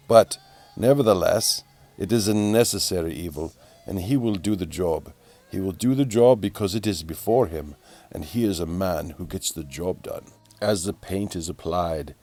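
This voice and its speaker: A man's voice: fairly low pitch, no room sound, lightly acted. A man playing a pompous, easily exasperated narrator who mocks a painter in a theatrical, mock-dramatic DIY voice-over. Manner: theatrical, pompous, drawn-out repetition.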